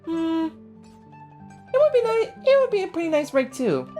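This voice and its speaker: A woman giving casual, reactive gameplay commentary as a video host, thinking aloud in a halting, repetitive way. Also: very high-pitched, big pitch swings, warm tone. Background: game music.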